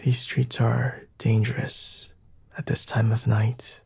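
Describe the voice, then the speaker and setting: A husky, breathy male vampire played by a man in an intimate ASMR roleplay, murmuring in half-whispers with a gravelly fry. He is self-assured and teasing, condescending yet merciful. His delivery is unhurried and softly mellow, with formal, deliberate wording. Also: mid-range voice, flat delivery, full character voice. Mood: condescending concern. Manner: slow, deliberate, formal wording with sighs and hums.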